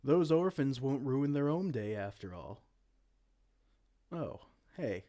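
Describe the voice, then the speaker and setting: A man playing a vain, silly, soft-hearted evil king in a comedic fantasy roleplay, pompous and theatrical but slipping into casual dorkiness. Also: medium pitch, very animated, takes it slowly. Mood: chummy. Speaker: audio roleplay performer.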